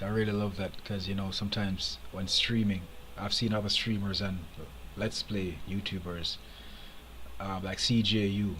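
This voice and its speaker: A man's voice: lowish voice, a bit bright, poor audio. Jamaican male streamer, chatty commentary, faint background noise. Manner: chatty, opinionated, laughs often. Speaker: game streamer. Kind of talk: casual live gameplay commentary.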